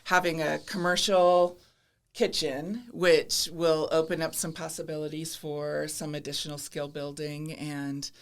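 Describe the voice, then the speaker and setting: A woman's voice: deep voice, level tone, unhurried. Candid middle-aged American woman in conversation. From Midwestern United States (Minnesota, Iowa). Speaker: podcast guest, government commissioner. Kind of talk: conversational interview.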